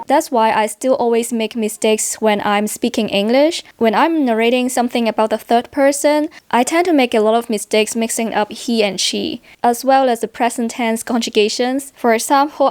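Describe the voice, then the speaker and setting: A woman from Hong Kong, a native Cantonese speaker with a Hong Kong accent, talking to camera in a measured, deliberately careful way. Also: fairly high pitch, a little mellow, no echo. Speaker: vlogger. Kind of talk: personal vlog talk to camera.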